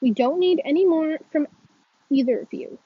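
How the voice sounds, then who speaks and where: Woman acting as a stern school principal, high-voiced. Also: moderate pace, no echo, highs cut off, close to an everyday voice. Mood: firm, formal. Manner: firm, authoritative. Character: stern, formal female school principal, reproachful.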